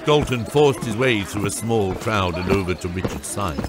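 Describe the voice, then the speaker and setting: A man's voice: lowish voice, expressive, moderate pace, loud music behind the voice. A man narrating a fantasy audiobook from a script as its storyteller.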